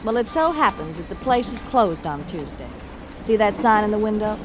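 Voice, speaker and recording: An American actress playing an anxious, scheming femme fatale in 1940s radio noir, with a strained, insistent manner. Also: female voice, medium pitch, big pitch swings, moderate pace, lightly acted. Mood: defensive. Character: anxious, pleading femme fatale, scheming old flame of detective. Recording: very noisy old radio recording.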